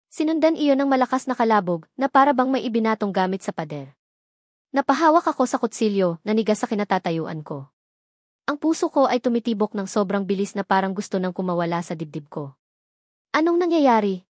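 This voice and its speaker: A woman's voice: medium pitch, no room sound, clean studio sound. A woman with a Filipino accent reading a scripted, first-person dramatic story as narrator.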